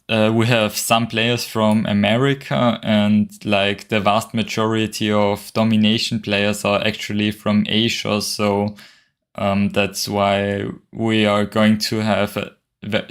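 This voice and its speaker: An Austrian man commentates a game tournament in a relaxed, unhurried way. His voice sits a bit low, and he drawls with slow pacing. He fills pauses with hesitant ums and soft chuckles. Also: clear, resonant tone. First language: German.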